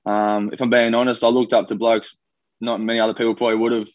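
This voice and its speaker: A man's voice: lowish voice, level tone, quick pace, no echo, lo-fi sound. A young man with an Australian accent, a rugby league player chatting in a laid-back, rambling way with lots of fillers. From New Zealand, raised in Australia. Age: young adult. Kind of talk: casual podcast interview.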